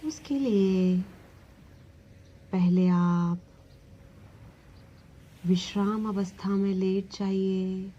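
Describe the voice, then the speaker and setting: A woman leads a guided relaxation meditation, giving gentle, soothing instructions with long pauses between phrases. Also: medium pitch, fairly even intonation, dull audio. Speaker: yoga nidra meditation instructor.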